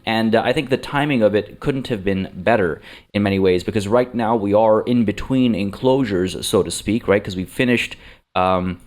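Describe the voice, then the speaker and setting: A man's voice: on the low side, normal speed, darkish tone. A man hosting a let's play, chatting in a rambling way with many fillers and restarts, slightly under the weather. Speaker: gaming channel host.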